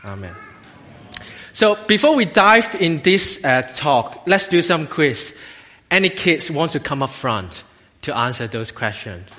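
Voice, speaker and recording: Male lay preacher, Hong Kong accent, slightly high bright voice, halting, self-deprecating, informal children's sermon. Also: big pitch swings. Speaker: assistant lay minister preaching. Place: church, speaking to a congregation. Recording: long hall reverb.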